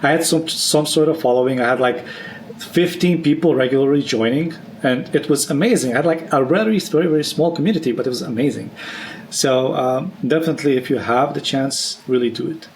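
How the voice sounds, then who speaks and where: A man's voice: medium pitch, brisk, a little hiss or hum, poor audio. A man hosting a podcast, chatting casually in an encouraging, enthusiastic way. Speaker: podcast host. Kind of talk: casual chat.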